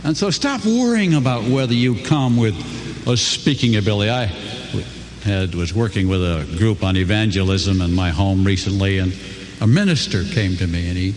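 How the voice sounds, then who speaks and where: An older man giving a folksy, earnest motivational speech to a crowd, his pitch swooping for emphasis. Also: moderate pace, a little hiss or hum. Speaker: company co-founder speaking to a crowd. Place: large hall before an audience. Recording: echoey hall, faint ambience.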